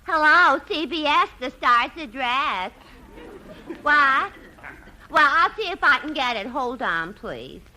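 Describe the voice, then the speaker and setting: Chatty switchboard girl, 1950s radio comedy: high, bright, brassy New talk, gullible and starstruck. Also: lively intonation, takes it slowly, a little hiss or hum, heavily acted, poor audio. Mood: businesslike.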